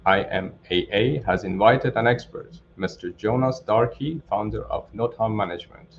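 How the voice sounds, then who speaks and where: A man hosting a webinar, reading a formal scripted introduction in a measured way. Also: fairly low pitch. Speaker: webinar host. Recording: dull lo-fi audio, clear background noise.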